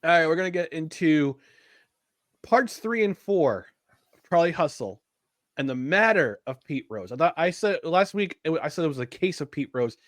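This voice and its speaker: A young American man talks loosely on a podcast, his voice sitting a touch higher than most men's. He rambles, corrects himself and laughs at his own jokes. The sound is dry and close, with no room around it. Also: expressive, moderate pace, a bit bright, dry recording. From United States. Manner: rambling, self-deprecating, laughs often. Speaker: podcast co-host. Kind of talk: casual podcast chat. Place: upstate New York.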